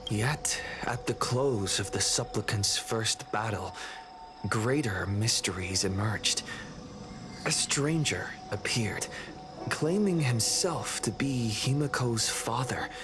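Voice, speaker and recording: A man narrating a video game's story recap as its young hero, in a measured, solemn storytelling manner. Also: fairly low pitch.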